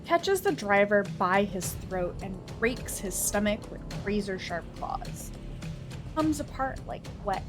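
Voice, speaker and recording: A woman reading a visual novel aloud as a game streamer, with expressive, theatrical delivery. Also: medium pitch, slow, music in the background. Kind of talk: dramatic narration of a visual novel with casual asides.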